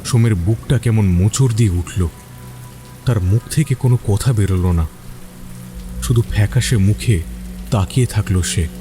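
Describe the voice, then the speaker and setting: A man's voice: fairly low pitch, normal speed, long pauses, over music. A man narrating a scripted mystery story in a dramatic storytelling style.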